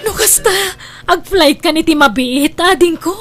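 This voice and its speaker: A woman plays a scheming wife with a high, bright-toned voice. She wheedles and pushes, always angling for another loan. The acting is plain and everyday, as in a spoken audio drama. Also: normal speed, over music. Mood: scolding. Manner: wheedling, pushy. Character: scheming wife, a grown woman who keeps borrowing money. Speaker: lead character Amy.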